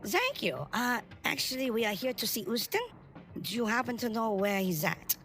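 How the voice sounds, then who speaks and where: A woman's voice: on the high side, expressive, moderate pace, a bit bright. A woman playing a polite, eager smuggler and mechanic in a tabletop role-playing game. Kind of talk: tabletop role-play in character.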